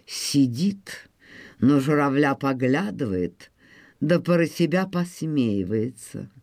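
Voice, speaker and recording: A woman telling a scripted folk tale in an expressive, folksy, measured storytelling manner. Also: deep voice, no room sound, studio quality.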